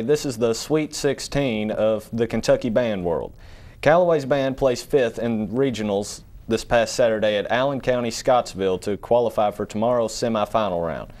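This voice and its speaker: A man's voice: on the low side, a little mellow. A teenage boy anchoring a school newscast, reading upbeat news items and teasing his co-anchor in a studio. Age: teens. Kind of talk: read newscast with banter.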